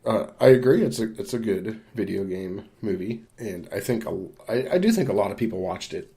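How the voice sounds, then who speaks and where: A man with a fairly low-pitched voice, speaking as a podcast co-host. He gives his opinions on films in a casual, measured, conversational manner. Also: expressive, dark tone. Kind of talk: casual film discussion.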